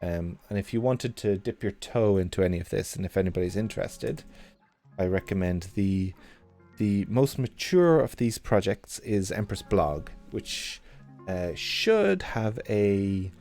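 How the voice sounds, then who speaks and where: A man with a mild Irish accent chats to his live-stream viewers in a loose, rambling way, full of jokes and restarts. His voice sits on the lower side. Soft music plays faintly behind him. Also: gravelly. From Ireland. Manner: chatty, rambling, jokey, self-interrupting. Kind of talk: casual chat with live chat. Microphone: good desk mic at computer.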